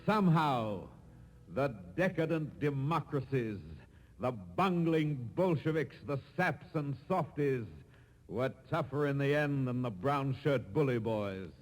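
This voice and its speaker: A man giving measured, declamatory dramatic narration in a 1940s radio broadcast. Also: mid-range voice, animated. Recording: 1940s broadcast, long hall-like reverb.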